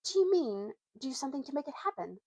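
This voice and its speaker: A woman's voice: high-pitched, sing-song, fast, darkish tone, voice pushed up. A woman voicing a spirited, skeptical schoolgirl in a story reading, with a blunt, questioning manner and old-fashioned slang. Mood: doubtful.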